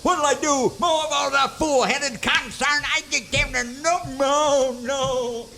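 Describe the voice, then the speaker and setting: A man's voice: very high voice, steady tone, slow pace, bright, forceful tone, slight background noise, full character voice. An actor playing a folksy, muddled old cowboy sidekick in a parody of western radio serials, rambling and muttering as he goes. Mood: panicked.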